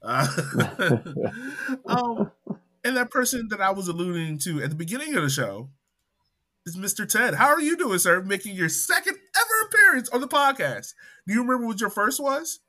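Bright, higher-voiced male podcast host. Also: very animated, moderate pace. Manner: upbeat, introduces guests, teasing. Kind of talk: casual podcast chat.

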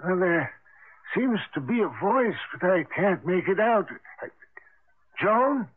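An actor playing a calm, practical middle-aged father in a radio drama, measured and down-to-earth. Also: high-pitched, husky, exaggerated voice. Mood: puzzled. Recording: faintly noisy, muffled vintage radio broadcast.

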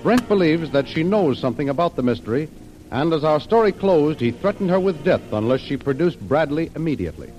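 Casting: American male radio narrator, old-time dramatic announcing, voice a little high, faint music underneath, vintage recording. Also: a little mellow, low-quality recording.

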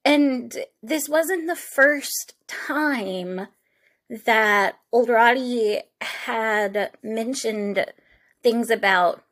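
A woman hosting a true crime podcast, speaking in an informal, reflective way with halting, conversational phrasing. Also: fairly high pitch, a bit bright.

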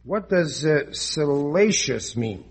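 A stubborn, none-too-bright working-class dad in a 1940s radio comedy. His voice is rough and rather high for a man. He blusters and fumbles for words with loose grammar. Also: animated, takes it slowly, exaggerated voice. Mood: puzzled, evasive. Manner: blustering, hesitant, ungrammatical. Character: blustering, dim, stubborn forty-year-old working-class husband and father. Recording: old radio broadcast recording.

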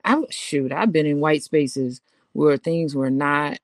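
A woman's voice: sits low, slow pace, no echo, clean studio sound. A woman co-hosting a podcast, talking casually, teasing and often laughing. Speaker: podcast co-host. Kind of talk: casual conversation.

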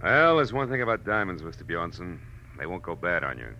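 An actor playing a tough, wry American private detective, terse and slangy, in the style of 1940s radio drama. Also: male voice, sits low, sing-song, a little fast, bright, forceful tone, dull audio, slight background noise, full character voice. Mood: wry, explaining. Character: tough, hard-boiled male private detective narrating his case.

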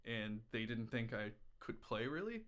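A man's voice: mid-range voice, very animated, normal speed, fairly bright tone. A man chatting casually as a podcast guest, telling personal stories in a candid, irreverent, rambling way. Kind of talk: casual conversational storytelling.

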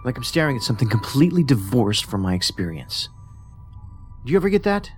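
An actor playing a pedantic, socially awkward federal investigator in a science fiction audio drama, speaking precisely and formally with a detached, musing manner. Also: male voice, medium pitch, sing-song, quick pace, lightly acted. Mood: musing, unsettled. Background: music under the voice.

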